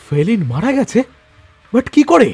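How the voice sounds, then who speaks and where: A man's voice: extremely high pitch, very animated, a little slow, creaky, a little mellow, breathy edge, exaggerated voice. A man playing a determined hired sniper in an audio thriller, speaking in a terse, intense way. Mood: shocked. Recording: background music under the voice.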